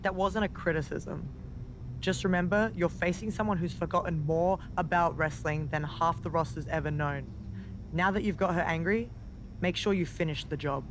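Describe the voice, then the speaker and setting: Man as a wry high-voiced backstage adviser. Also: steady tone, normal speed, background noise, natural voice. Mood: wry urging. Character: wry male backstage adviser egging on a wrestler. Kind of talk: video game cutscene acting.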